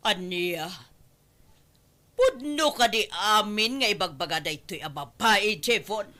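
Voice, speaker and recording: A woman's voice: medium pitch, expressive, slow pace, sharp tone. A woman playing an indignant, protective middle-aged mother in a radio drama, scolding and demanding answers. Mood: shocked, demanding. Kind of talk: Ilocano radio drama acting.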